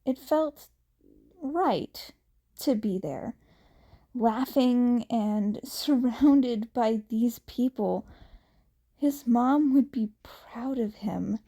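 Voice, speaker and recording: A woman narrates a fan fiction audiobook in a high, mellow voice. Her reading is very slow and careful, with lively rises and falls.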